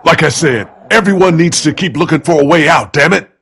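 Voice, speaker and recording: An actor playing a desperate, earnest, bitten survivor in a zombie video game, sounding strained. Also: male voice, on the high side, a little fast, fairly bright tone, husky. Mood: desperate, angry. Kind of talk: dramatic video game acting.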